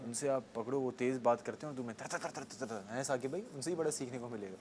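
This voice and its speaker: A man's voice: medium pitch, fast, darkish tone. A man bantering casually and teasingly with a friend, giving him friendly advice. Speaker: reality show contestant. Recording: noticeable background noise.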